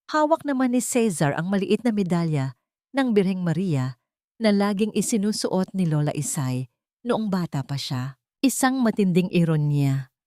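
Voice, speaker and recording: A woman narrating a scripted dramatic story in a deliberate, dramatic manner. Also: on the low side, a little mellow, no echo, studio quality.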